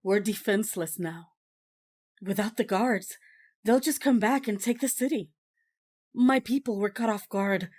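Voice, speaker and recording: A woman's voice: mid-range voice, unhurried, long pauses, a little mellow, close to an everyday voice. A woman playing the grave, resolute mayor of an embattled city in an audio drama, earnest and firm. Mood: grave resolve.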